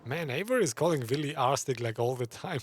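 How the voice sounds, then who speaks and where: Male game streamer, casual reactions, animated intonation, mid register, slight background noise. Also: mid-range voice, brisk. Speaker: gaming streamer. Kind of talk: casual playthrough commentary.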